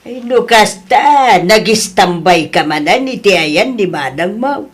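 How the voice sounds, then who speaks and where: A woman plays a long-suffering Filipino mother in an audio drama. She scolds in slow, drawn-out exclamations, her pitch swinging widely. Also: lively intonation. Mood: exasperated, scolding. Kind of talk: dramatised audio story acting.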